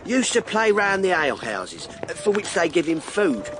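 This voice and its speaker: Animated high-voiced London working man. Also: moderate pace, noisy background. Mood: wry storytelling. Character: earthy working-class Londoner of plague times, wry and plaintive. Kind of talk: radio drama acting.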